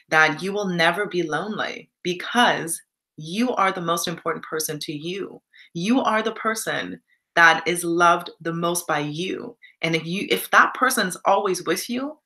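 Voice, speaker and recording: A woman's voice: lowish voice, pushed and bright. A young Canadian woman in casual live stream chat, reflective and encouraging, reading viewer comments aloud.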